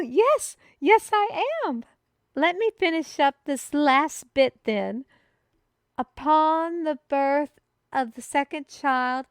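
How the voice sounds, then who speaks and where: A woman playing an excitable fantasy messenger who proclaims a prophecy to the listener in grandiose, formal, old-fashioned phrasing, as a scripted audio roleplay. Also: high voice, no room sound, clean studio sound. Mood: eager, reciting. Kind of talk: theatrical scripted roleplay monologue addressed to the listener.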